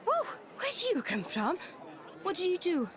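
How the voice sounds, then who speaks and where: A woman voicing a small, excitable, naive creature with wide-eyed childlike speech in a video game cutscene. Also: extremely high pitch, moderate pace, some chatter behind, a little hiss or hum, full character voice. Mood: excited, curious.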